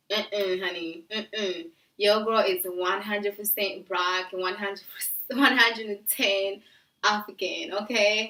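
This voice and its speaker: A woman's voice: medium pitch, pushed and bright, far from the mic. A young woman from Tanzania, talking casually and sassily to her viewers, with lots of fillers and laughs, recorded on a camera mic in an indoor room. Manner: sassy, chatty, frequent fillers and laughs. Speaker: vlogger. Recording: echoey room, mic set back.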